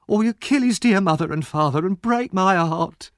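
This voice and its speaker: A scheming young woman from a storybook, put on by a male reader, wailing and scolding dramatically. The pitch is very high and swoops widely. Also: expressive, moderate pace, no room sound, studio quality. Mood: accusing. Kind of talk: audiobook character reading.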